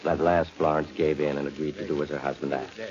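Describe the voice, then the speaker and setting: An actor playing an ominous narrator, reading scripted narration for a vintage radio suspense drama. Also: male voice, rumbling low, fairly even intonation, brisk, darkish tone. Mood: grave. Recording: dull old radio recording.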